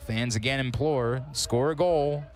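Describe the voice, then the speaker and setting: Male play-by-play announcer on headset, mid-pitched, quick on the big plays, faint music behind. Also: a little mellow, some chatter behind. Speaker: sports commentator. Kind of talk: live sports play-by-play commentary.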